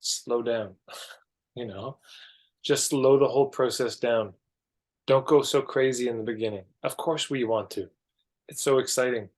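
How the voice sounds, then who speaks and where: A Canadian man with a mid-pitched voice speaks as a guest on a podcast. He explains his thinking conversationally and emphatically, often slipping into imagined dialogue to illustrate a point. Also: a little slow, pauses often. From Alberta, Canada. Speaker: podcast guest, marketing coach.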